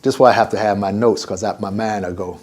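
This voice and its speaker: A man's voice: on the low side, fast talker. An older man teaching an informal religious lesson as imam, wandering off topic and acting out conversations. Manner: animated and digressive, acts out conversations.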